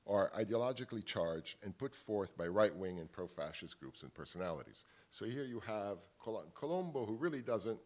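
Low-voiced man giving a panel talk. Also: animated, a little mellow. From Italy. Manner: measured, restarts often. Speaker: panel speaker. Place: wood-panelled hall with audience. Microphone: table microphone on stand.

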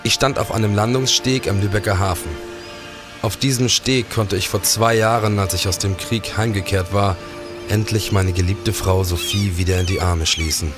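An actor playing a police detective and war veteran in a radio play. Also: male voice, on the low side, fairly even intonation, moderate pace, music in the background, natural voice. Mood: measured. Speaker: actor in an audio drama. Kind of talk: German radio play acting.

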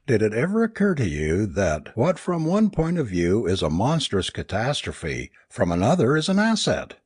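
A tense, determined man voiced by an audiobook narrator talks with wry earnestness. His pitch swings freely, and the voice is a little husky. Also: huge pitch swings, normal speed, no echo, studio quality, heavily acted. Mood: strained resolve.

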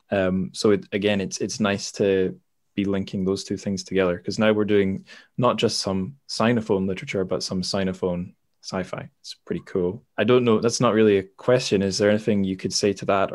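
A man's voice: deep voice, a little mellow. A man hosting a casual literary podcast, talking in a rambling, hesitant way and often correcting himself. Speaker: podcast host.

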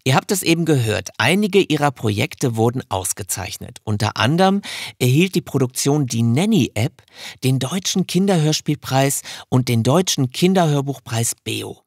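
A man hosting a podcast, presenting informally with a gravelly, husky voice. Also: medium pitch, dry recording, clean studio sound. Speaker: podcast host. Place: studio.

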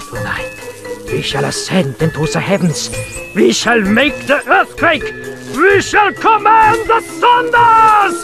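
High, swooping mad scientist. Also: male voice, exaggerated voice. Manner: grandiose, theatrical, dramatic pauses. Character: melodramatic mad scientist, old bald man, grandiose and unhinged.

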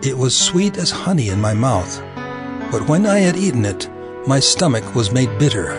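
A man's voice: medium pitch, sing-song. Solemn male narrator over music. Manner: solemn, deliberate storytelling. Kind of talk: dramatic scripted scripture narration. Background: loud music.

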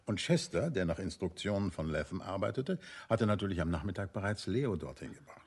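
A suave gentleman detective in a radio play. His low voice is husky and gravelly, swinging expressively as he banters with smug amusement. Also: expressive, fairly quick, exaggerated voice. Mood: explaining, amused. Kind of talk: German radio play acting.

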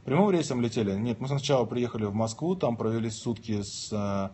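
A man's voice: medium pitch, a little fast, crowd noise. A man in his thirties lying in bed, chatting on a livestream and joking as he reads viewer questions aloud and answers them. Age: 30s. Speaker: livestream host. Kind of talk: casual livestream chat reading viewer questions. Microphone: phone held at arm's length.

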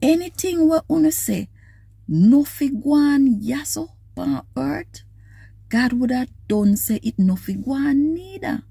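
A middle-aged woman with a Jamaican accent reading aloud devotionally in a measured, expressive way. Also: high-pitched, vocal fry, no room sound. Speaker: scripture reader. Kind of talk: devotional read-aloud in a casual, personal setting. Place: inside a parked car.

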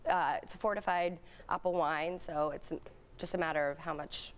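A woman's voice: deep voice, steady tone. A woman in her thirties, guest on a live TV morning show, talking casually about her drink brand in a studio. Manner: casual, breezy, quick to joke. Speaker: drink company founder, guest. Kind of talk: TV studio interview.

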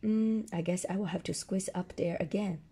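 A woman voicing a kindly, flustered old Santa from a children's storybook, with a hoarse voice. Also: on the low side, steady tone, moderate pace, mellow. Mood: grateful.

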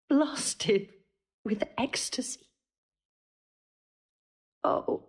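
A woman's voice: a little high, exaggerated voice. An actress playing a grief-stricken young noblewoman in a classical Shakespearean audio drama, speaking verse mournfully. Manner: slow, mournful verse delivery.

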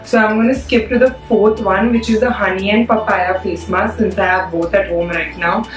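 A hoarse young woman, chatting casually as a beauty vlogger, full of "like" fillers. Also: medium pitch, steady tone, normal speed. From India. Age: young adult. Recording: echoey room, a bit far from mic, faint music.

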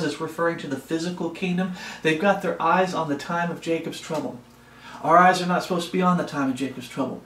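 A middle-aged man giving an informal Bible study sermon, earnest and repeating phrases for emphasis, recorded at a desk in a small room. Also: high voice. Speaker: preacher, Bible study host. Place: small home office, indoors. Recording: roomy, echoey indoor sound.

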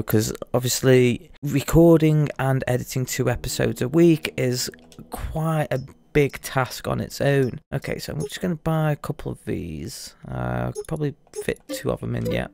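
Gravelly man chatting over a game. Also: medium pitch, a little mellow, no room sound. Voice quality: gravelly, a bit rusty. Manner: chatty, rambling, apologetic. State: recovering from losing his voice. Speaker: gaming YouTuber. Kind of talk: casual let's-play commentary.

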